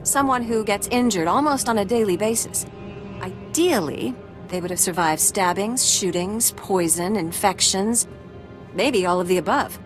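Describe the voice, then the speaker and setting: A woman acting a brisk, dry-witted medical researcher in a video game, wry and matter-of-fact. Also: medium pitch, over music, natural voice, rough recording. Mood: measured, persuasive.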